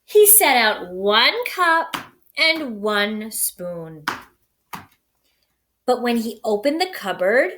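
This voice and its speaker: Slow, very animated woman reading a storybook. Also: fairly high pitch, slight background noise. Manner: addresses young listeners, dramatic word emphasis. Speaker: storybook reader for children. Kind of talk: expressive children's read-aloud.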